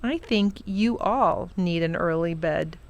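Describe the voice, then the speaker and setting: A woman's voice: on the low side, slow pace. A woman voicing a sensible storybook mother, matter-of-fact and mildly scolding. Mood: disapproving.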